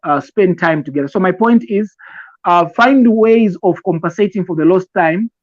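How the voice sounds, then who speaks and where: A man with a Zambian accent and a slightly high voice talks in a conversational, advisory way. He explains deliberately and haltingly, repeating phrases as he goes. The recording is dry and a little dull. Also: no room sound. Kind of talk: conversational advice talk with personal examples.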